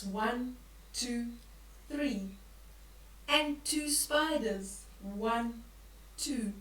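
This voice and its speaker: An older woman reading a bedtime story aloud to young children, expressively, with drawn-out emphasis and questions for the listeners. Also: fairly high pitch, a bit bright. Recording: echoey room, distant from the mic.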